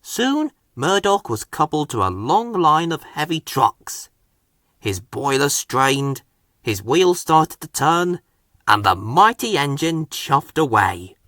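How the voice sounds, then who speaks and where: Children's story narrator, male, measured pacing, wide lively intonation, bright voice. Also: mid-range voice, no echo, studio quality. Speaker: storybook reader.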